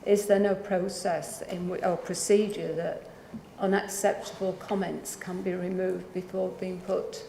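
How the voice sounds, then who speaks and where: A woman's voice: lowish voice, level tone, measured pace, gravelly, warm tone, reverberant, slight background noise, poor audio. A woman councillor putting a polite, somewhat hesitant question to an officer in a council meeting. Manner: polite, hesitant, many fillers.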